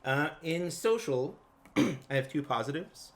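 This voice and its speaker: A man, a tabletop player talking casually and haltingly through a character sheet. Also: a little high, expressive. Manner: halting, hesitant. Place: indoors at a home game table.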